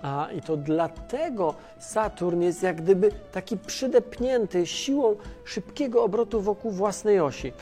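A man's voice: high voice, animated, a little slow, few pauses, dark tone, faint music behind. A man playing a caring, easygoing mission controller who chats informally in a children's educational audio drama. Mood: interested explaining. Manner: conversational, hesitant, informal. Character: Tomasz, caring, chatty, easygoing male mission controller on Earth.

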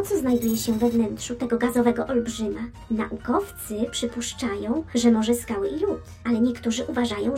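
A woman's voice: fairly high pitch, warm tone, natural voice. An actress playing a chatty, curious female space probe with a wry, teasing manner in a children's educational audio drama. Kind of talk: children's educational radio play.

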